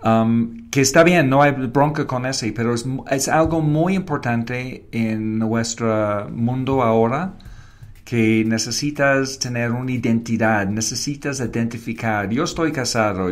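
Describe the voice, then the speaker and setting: British-accented man, non-native Spanish, reflective guest, mid-range voice. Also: medium pitch. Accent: British English. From Wales, Britain. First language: English. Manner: non-native Spanish, short declarative sentences, slips into English words. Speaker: guest, advertising creative and professor. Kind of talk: reflective interview conversation.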